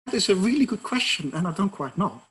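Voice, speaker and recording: A man's voice: high voice, big pitch swings, fast, fairly bright tone, breathy edge. A middle-aged man from the Netherlands with a Dutch accent, a professor answering interview questions in a thoughtful, explanatory way. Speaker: professor and researcher.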